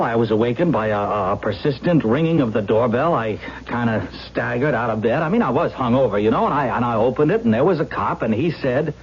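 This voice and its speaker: An actor playing a rattled, defensive murder suspect, halting and then emphatic, in a 1970s radio drama. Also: male voice, on the high side, darkish tone, slightly rough. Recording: muffled, noisy vintage radio broadcast with hum.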